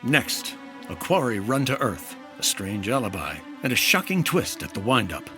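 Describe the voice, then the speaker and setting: Casting: male actor as earnest private investigator, animated yet dry, narrating his case like classic fifties radio drama. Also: mid-range voice, moderate pace, music in the background, natural voice. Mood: announcing. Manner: clipped, dry detective narration. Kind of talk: 1950s radio detective acting.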